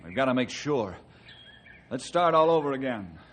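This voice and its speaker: A man plays a fair-minded American ranger hero in an old radio drama. He sounds earnest and in charge, with a middling pitch, on a slightly noisy vintage recording. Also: very animated, normal speed, natural voice. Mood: determined. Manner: earnest, authoritative.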